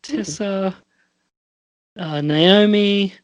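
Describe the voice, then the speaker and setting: A slow-talking man hosting a casual podcast. He is hesitant and self-deprecating, and his voice sounds close and dry. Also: sits high, no room sound, lo-fi sound. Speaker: podcast host and scriptwriter. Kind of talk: casual chat.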